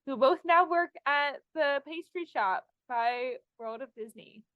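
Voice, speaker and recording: A woman's voice: high-pitched, unhurried, no echo, phone-quality sound. A woman hosting a podcast, telling anecdotes in a chatty, enthusiastic fan style. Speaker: podcast host. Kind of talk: casual fan chat and anecdotes.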